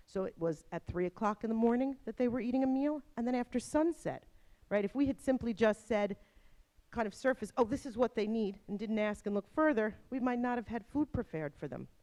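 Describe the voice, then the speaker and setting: A middle-aged woman with a New Jersey accent presents to colleagues in a university conference room. Her pitch sits in an ordinary middle range, and she talks in a lively way, checking in with her listeners by asking "right?" again and again. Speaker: workshop moderator.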